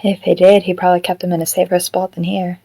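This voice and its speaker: Woman playing a calm, polite living marionette. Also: on the low side, fast talker, no room sound. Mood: dry. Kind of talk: fan audio drama acting.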